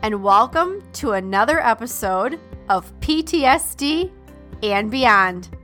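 A middle-aged woman with a Detroit Midwest accent hosts a solo podcast, talking in a chatty, emphatic way. Her voice sits a little high, and she leans into key words. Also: lively intonation, slow pace, faint music behind. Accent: Detroit Midwest American. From Detroit, USA. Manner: warm, chatty, emphatic, with laughs and shout-outs. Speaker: podcast host. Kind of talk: casual solo podcast talk.

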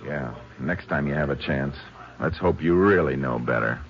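A calm, authoritative Texas lawman in an old radio drama. The voice is extremely deep and the lines are terse. The recording is muffled. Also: moderate pace, a loud crowd. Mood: dry. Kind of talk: 1950s radio western crime drama acting.

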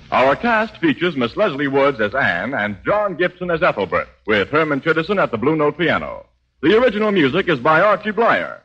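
An American male announcer with a bright, rather high voice and animated intonation. He reads scripted copy emphatically in 1940s radio style. The old recording is muffled. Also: slightly rough. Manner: polished, emphatic. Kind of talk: 1940s radio sponsor read.

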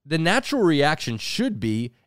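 A man in his thirties co-hosting a casual podcast, talking in an animated way and acting out quoted voices. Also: a little high, normal speed, smooth, dry recording, studio quality. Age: 30s. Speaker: podcast co-host.

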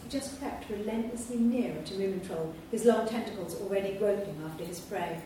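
A woman reading a children's storybook aloud at a live event, in a steady, clear storytelling manner. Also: mid-range voice, a little fast, slight background noise. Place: large hall at a live event. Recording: echoey hall from far off.

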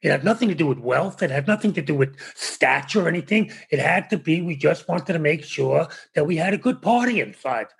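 A man answering interview questions in a halting, conversational way with a gravelly, husky voice. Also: fairly high pitch, animated. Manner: halting, restarts with fillers. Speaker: interview guest.

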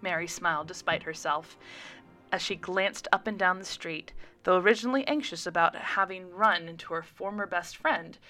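A woman reading a scripted story aloud as an expressive narrator. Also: mid-range voice. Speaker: guest audiobook reader.

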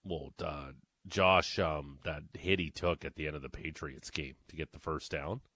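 A man's voice: very deep voice, steady tone, moderate pace, fairly bright tone, no room sound. A man hosting sports talk radio, in laid-back, joking banter. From grew up bilingual, English and Serbian.

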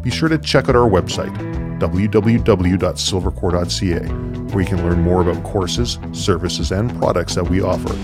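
This is a man presenting a podcast. His voice sits on the low side of the male range. Clear guitar music accompanies him as he hosts. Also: normal speed. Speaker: podcast host. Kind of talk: scripted podcast introduction, then interview.

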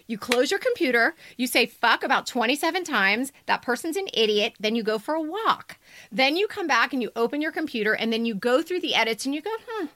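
An American woman with a bright, forceful voice on the higher side, recorded very dry. She gives blunt, teasing critique off the cuff, bossing people around like a tough editor. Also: dry recording. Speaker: podcast co-host and editor. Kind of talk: unscripted critique and banter.

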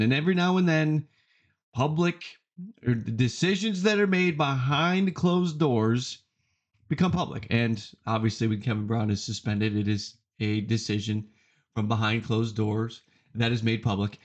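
A man hosting a sports podcast, giving opinionated commentary in an emphatic way and repeating phrases for effect. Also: medium pitch. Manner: emphatic, deliberate repetition for effect.

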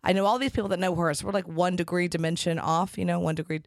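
A woman hosting a podcast in a casual, rambling monologue, her voice raspy and hoarse from being sick. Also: lowish voice, fairly even intonation, quick pace, dry recording, studio quality. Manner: rambling and chatty, with frequent restarts. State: hoarse from a respiratory infection. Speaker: podcast host.

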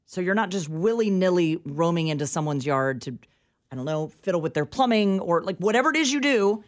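A woman's voice: lowish voice, animated, fairly quick. An American woman co-hosting a radio show with casual comic banter and a teasing, mock-official delivery.

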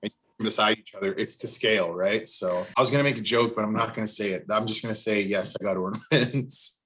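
A man's voice: on the low side, brisk, fairly bright tone, husky, rough recording. A man chatting casually, telling personal anecdotes in a sentimental way. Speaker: podcast co-host. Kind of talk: casual chat.

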